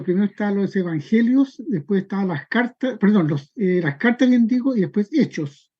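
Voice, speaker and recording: A man speaking as a student in an online class, politely asking a question in a halting way, repeating words as he goes. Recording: muffled low-fidelity online call audio.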